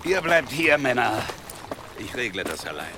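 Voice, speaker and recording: An actor playing a curt, coarse, domineering leader of medieval riders in a radio drama. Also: male voice, mid-range voice, sing-song, normal speed, heavy background noise, close to an everyday voice. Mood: stern, threatening. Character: gruff, commanding medieval leader of armed riders, menacing.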